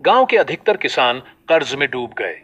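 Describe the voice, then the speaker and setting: A man narrating a scripted moral story as a storyteller, in a measured delivery. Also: mid-range voice, big pitch swings, fairly bright tone, slightly rough, dull audio.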